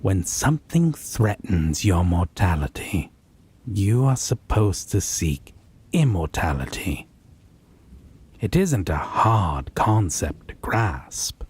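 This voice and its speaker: A man playing a possessive, condescending vampire lover in a roleplay audio drama, haughty and deliberate with a menacing, husky delivery. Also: sits low, big pitch swings, a little mellow, heavily acted. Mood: scornful, menacing. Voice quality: breathy, husky.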